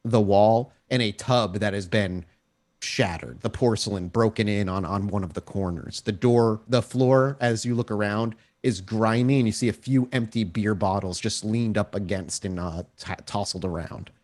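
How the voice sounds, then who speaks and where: A man's voice: on the low side, moderate pace, darkish tone. A man running a tabletop game, improvising scene descriptions in a conversational, descriptive way. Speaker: game master.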